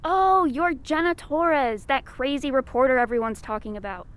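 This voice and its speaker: A woman's voice: high voice, moderate pace, lightly acted. A woman playing a quirky, unflappable nursing home worker, breezy and matter-of-fact, in an audio drama. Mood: chipper.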